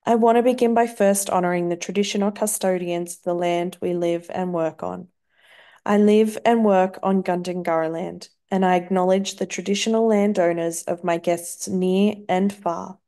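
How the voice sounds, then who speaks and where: An Australian woman hosting a podcast, reading a gentle, earnest scripted welcome and then chatting casually with her guest. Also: on the low side, fairly even intonation, normal speed. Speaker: podcast host. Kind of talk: scripted intro then casual interview chat.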